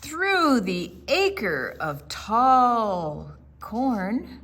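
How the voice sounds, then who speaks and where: Woman as a wise storybook owl, very slow, husky, solemn, measured pronouncements. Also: fairly high pitch, big pitch swings, fairly bright tone, full character voice. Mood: instructive, grave.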